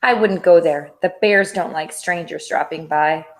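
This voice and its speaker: A woman's voice: fairly low pitch, measured pace, pitched below the performer's own voice, lightly acted. A woman voicing a helpful, thoughtful talking fork in a storybook, musing and rambling. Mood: worried.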